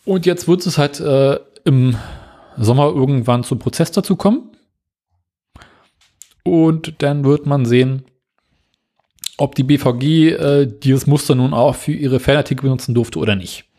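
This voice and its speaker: A man co-hosting a casual podcast, explaining news items in a relaxed, dry way. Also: mid-range voice, unhurried, long pauses. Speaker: podcast co-host.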